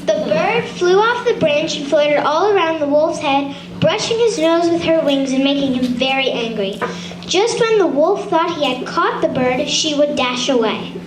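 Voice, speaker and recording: High-voiced woman storyteller in an echoey room. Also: animated, normal speed. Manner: stumbles over words and rereads phrases. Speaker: storyteller, puppet show narrator. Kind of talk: scripted story read aloud for a children's shadow puppet show. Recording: echoey room, far from mic, noisy.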